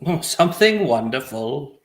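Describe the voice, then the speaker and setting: A woman playing a stiff, formal gentleman scientist in a comic play table read, stilted and haughty, in an echoey recording. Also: a bass voice, sing-song, unhurried, exaggerated voice. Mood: guarded, stiff. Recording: echoey, roomy microphone sound.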